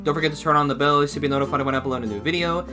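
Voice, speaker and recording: A man's voice: a little high, racing, over music. Rambling young man chatting casually. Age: young adult. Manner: rambling, repeats and rephrases himself. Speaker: reaction video host. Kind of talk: casual reaction commentary. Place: small room indoors at home.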